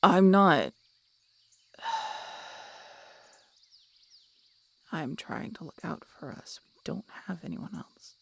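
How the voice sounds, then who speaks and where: Woman doing a grumpy harpy lady, super creaky low-key snark, pitch jumps around, fantasy audio roleplay. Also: sing-song, unhurried, a bit bright, a little hiss or hum, heavily acted. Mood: grudging, defensive. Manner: sardonic, curt, grudging. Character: grumpy, cynical, guarded female harpy.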